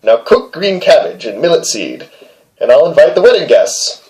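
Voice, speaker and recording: A man's voice: on the high side, sing-song, normal speed, a little mellow, rough recording. A man voicing a little male hare from a storybook, an insistent suitor who coaxes with repeated commands. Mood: insistent, urging. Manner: coaxing, repetitive commands.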